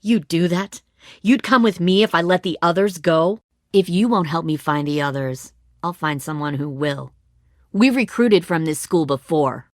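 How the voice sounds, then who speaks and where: Actress as a menacing woman survivor leader, deep female voice, cold, deliberate, coercive, zombie game drama, dry studio recording. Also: no echo, lightly acted. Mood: commanding, threatening. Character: hardened, menacing woman leader of apocalypse raiders. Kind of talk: dramatic video game acting.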